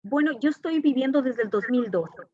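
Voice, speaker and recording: Woman, bit high voice, earnest formal interview answers, kinda dull lo-fi sound. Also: animated, moderate pace. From Ecuador. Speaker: interview guest, artist and professor. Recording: dull, echoey lo-fi call audio.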